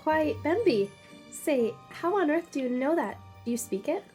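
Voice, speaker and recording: A woman's voice: high voice, very animated, normal speed, darkish tone, soft background music. A woman playing a polite, encouraging young guide to gifted children in an audio drama, speaking in formal phrases. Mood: surprised, impressed.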